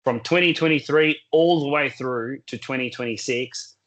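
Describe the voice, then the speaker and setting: Man, hoarse sore throat, mid-pitched voice, measured step-by-step market commentary. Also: fairly bright tone, dull audio. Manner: measured, explanatory, works through points in numbered order. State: sore throat, losing his voice. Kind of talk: solo market update recording.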